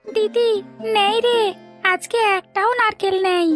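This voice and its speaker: An eager little brother from a village cartoon, played by a woman, with a very high voice. He sounds childlike and pleading. Soft music sits faintly behind him. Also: steady tone, a little slow. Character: small, eager, hungry village boy, younger brother. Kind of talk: cartoon story dialogue.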